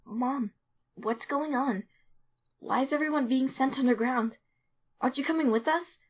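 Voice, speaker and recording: A woman voicing a young skeleton monster boy, a tender, anxious big brother who speaks haltingly in an animated comic dub. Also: high voice, husky. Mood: worried. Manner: timid, halting, stammering. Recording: muffled audio under loud music.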